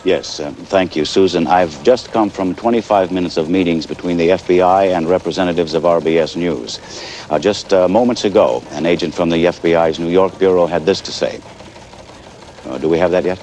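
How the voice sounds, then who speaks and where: Male network news elder statesman played by an actor: grave, formal, hesitant, very low register, TV drama. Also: brisk, darkish tone, close to an everyday voice. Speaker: news executive and commentator. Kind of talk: live TV news discussion acting. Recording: faint noise, 1980s TV broadcast.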